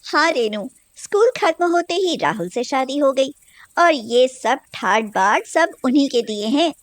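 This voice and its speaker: A woman voicing a rich, confident, generous friend in a cartoon story, speaking emphatically and briskly. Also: high voice, animated, dry recording, faint music behind. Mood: friendly, concerned.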